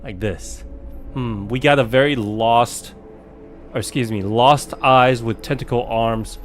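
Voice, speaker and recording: A man's voice: medium pitch, a little slow, a little hiss or hum. A man doing casual let's play commentary, jokingly talking back to the game. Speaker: game streamer. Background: game music.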